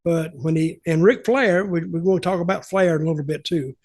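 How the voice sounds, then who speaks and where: A man's voice: fairly high pitch, fairly quick, a little mellow. An older man telling stories casually on a podcast, blunt and opinionated, repeating points for emphasis. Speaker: podcast host, veteran wrestling booker.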